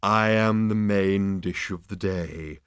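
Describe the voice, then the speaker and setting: A man voicing a polite, placid bovine creature that offers itself as dinner, in slow, soft, courteous tones. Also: low voice, clear, resonant tone, no room sound, studio quality, heavily acted.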